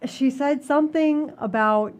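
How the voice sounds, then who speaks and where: A woman's voice: sits high, unhurried. Woman co-hosting in casual chat. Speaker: podcast co-host.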